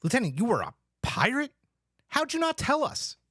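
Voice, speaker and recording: A man acting as a cocky starship officer with very animated pitch swings. He sounds glib and bewildered, recorded dry and close for a sci-fi table read. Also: moderate pace, dry recording, exaggerated voice. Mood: incredulous.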